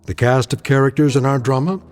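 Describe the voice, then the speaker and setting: A man narrating a radio drama in a deliberate, ominous, portentous style. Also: mid-range voice, fairly even intonation, darkish tone, faint music behind.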